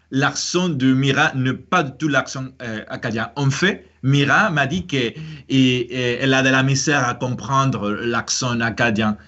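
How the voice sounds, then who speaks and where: A man's voice: mid-range voice, a bit bright, muffled recording. A man whose first language is Spanish, speaking French with a Spanish accent, hosting a casual chat and often hesitating and restarting. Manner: hesitant, many fillers and restarts. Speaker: host.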